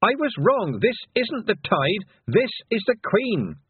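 Audiobook heroine, played by a man: young girl, determined, anxious, raised high pitch, husky, thin band-limited sound. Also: huge pitch swings, a little fast, no room sound, phone-quality sound, exaggerated voice. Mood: panicked. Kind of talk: audiobook character acting.

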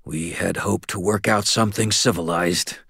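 Brief: suave cold vampire lord, read by a man, deep voice, formal and measured. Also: creaky, fairly bright tone, breathy edge, no echo, studio quality, heavily acted. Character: courtly male vampire, cold and businesslike. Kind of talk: audiobook character voice.

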